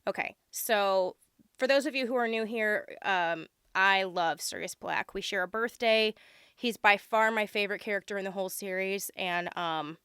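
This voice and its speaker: A young woman with a hoarse, congested voice chats her way through an unboxing, full of ums and little gushes of delight. Under the sickness her voice stays smooth and even. The recording is close and dry. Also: mid-range voice, moderate pace, dry recording. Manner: chatty, lots of ums, gushing over items. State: hoarse and congested from being sick. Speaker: unboxing vlogger. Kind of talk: casual unboxing chat.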